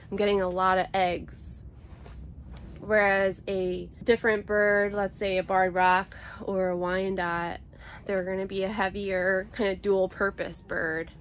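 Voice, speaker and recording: Slow-paced woman vlogging casually outdoors. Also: mid-range voice. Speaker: homesteading vlogger. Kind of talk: casual explanatory vlog talk. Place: outdoors in a covered chicken run and coop. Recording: outdoor ambient noise.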